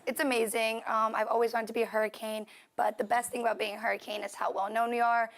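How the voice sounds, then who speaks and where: A woman's voice: fairly high pitch, level tone, fast talker. A woman in her twenties, from Puerto Rico, answering interview questions in a casual way with filler words. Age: 20s. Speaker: student athlete guest.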